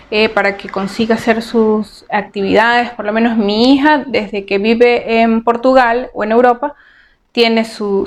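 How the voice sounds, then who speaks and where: A woman from Venezuela answers interview questions in a fairly high voice. She talks earnestly and practically, with frequent hesitations on "eh". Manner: earnest, advice-giving, frequent "eh" fillers. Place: Portugal.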